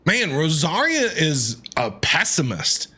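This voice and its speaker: A man's voice: a little high, huge pitch swings, takes it slowly, pushed and bright, husky. A man giving casual, playful live commentary as he streams a video game, reacting expressively.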